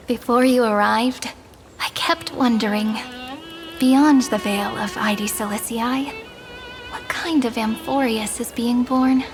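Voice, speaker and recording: An actress voicing a gentle, wistful young woman in a game cutscene, speaking softly and solemnly over orchestral music. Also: a little high, slow. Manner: soft, solemn, reverent. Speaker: game character.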